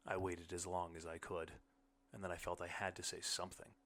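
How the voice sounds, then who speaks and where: A man's voice: sits low, monotone, brisk, fairly bright tone. A man reading a short story aloud as scripted first-person audiobook narration.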